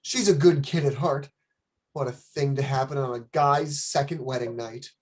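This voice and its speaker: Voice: medium pitch, moderate pace, natural voice. A performer playing an anxious, sappy, lovestruck bridegroom in a detective radio play. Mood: worried.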